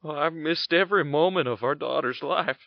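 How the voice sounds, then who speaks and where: An actor playing a gentle, tired soldier father in an audio drama, speaking wistfully and haltingly as he longs for home. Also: on the high side, dry recording, lo-fi sound, close to an everyday voice. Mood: wistful, longing. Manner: wistful, halting, stammering delivery.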